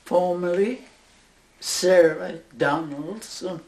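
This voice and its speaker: A woman over a hundred years old with a Northern Irish accent, a nun slowly recalling her life in an oral history interview, halting and with soft laughs. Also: on the low side, reverberant. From Northern Ireland. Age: over 100.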